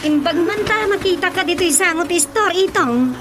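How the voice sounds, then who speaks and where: High-pitched scolding Ilocano village woman. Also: animated, moderate pace. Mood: taunting, matter-of-fact. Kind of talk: Ilocano radio drama comedy acting.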